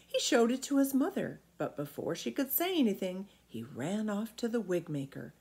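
A middle-aged woman reads a children's story aloud. Her delivery is expressive, with lively pitch movement around a medium register. She is recorded at home, a short way from the device. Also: moderate pace, gravelly. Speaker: storybook reader. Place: small indoor room at home.

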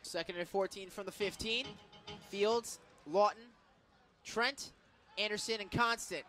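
An American man working as a radio play-by-play announcer, calling live football in an excitable, emphatic manner. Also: high voice, measured pace, faint music behind. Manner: excitable, builds to emphatic calls on big plays.

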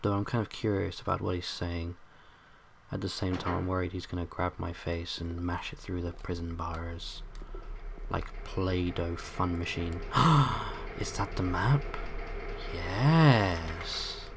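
A young man with a bassy voice, hosting a let's play with casual, joking commentary and humming. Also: steady tone, takes it slowly, vocal fry, noisy background. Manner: joking, hums and sings to himself, loud bursts.

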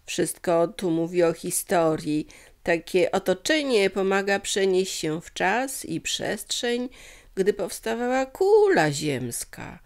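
Woman voicing a dreamy, awestruck professor with creaky voice. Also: mid-range voice, sing-song. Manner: exclaiming, wonderstruck, drawn-out words. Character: dreamy male professor, awestruck by visions of creation.

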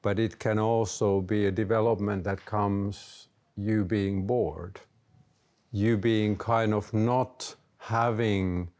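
A man's voice: fairly low pitch, a little mellow. A man in his fifties with a Finnish accent, speaking hesitantly as a guest in a panel discussion, with many fillers and restarts. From Finland. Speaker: panel guest, education leader. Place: indoor library room with bookshelves.

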